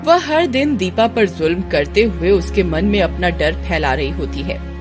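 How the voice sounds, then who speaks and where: A woman's voice: fairly low pitch, lively intonation, a little slow, music in the background. A woman narrator reading a scripted story for children.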